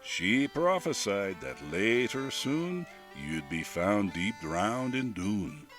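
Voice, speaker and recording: An older man with a rich, admired voice, reciting a poem as a guest reader in an expressive, lilting way. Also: lowish voice, slow. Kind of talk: dramatic poetry reading. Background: faint bagpipe and whistle music.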